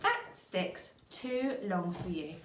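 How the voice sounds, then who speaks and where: A woman's voice: on the low side, big pitch swings, slow, a little hiss or hum. A woman voicing a sly, nasty old man who taunts his wife, in an echoey room. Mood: taunting. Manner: gloating, taunting. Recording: echoey room, mic at a distance.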